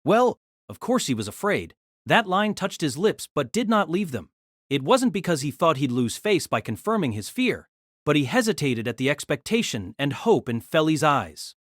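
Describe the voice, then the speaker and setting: Guy narrating an audio drama, really animated delivery, dead dry mic. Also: moderate pace, no room sound. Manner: expressive storytelling with lively pitch.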